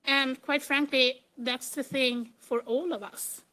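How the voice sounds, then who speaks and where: Middle-aged swedish lady giving a conference talk, accent, fairly high voice, plain-spoken and informal. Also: fairly even intonation, slow, bright, forceful tone, poor audio. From Sweden. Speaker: conference speaker, computer engineer. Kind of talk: conference presentation with slides.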